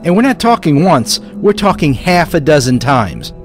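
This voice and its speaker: An actor playing an anxious, insistent American army private in a war audio drama, blunt and pessimistic. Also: male voice, on the high side, sing-song, normal speed, faint music behind.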